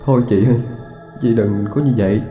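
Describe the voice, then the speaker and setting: Man voicing a tearful neighbor woman, soft pleading tone, dull lo-fi sound. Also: fairly low pitch, a little fast, natural voice. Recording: roomy, dull with faint music.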